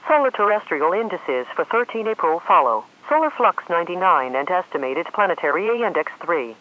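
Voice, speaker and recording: Automated bulletin reader, mid-pitched and formal, swinging intonation, narrow telephone-like radio audio. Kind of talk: scripted technical bulletin reading. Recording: tinny, narrow phone-like radio sound.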